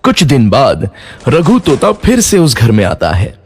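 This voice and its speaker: A man's voice: medium pitch, huge pitch swings, measured pace, a little hiss or hum. A man narrating a scripted moral story for children.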